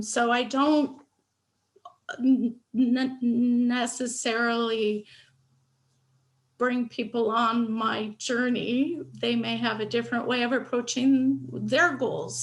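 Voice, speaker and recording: Gravelly-voiced woman panelist who stutters. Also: a little high. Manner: warm, candid, with stutters, fillers and restarts. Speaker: panelist and speech pathologist. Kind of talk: personal story on a video call panel. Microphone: video call.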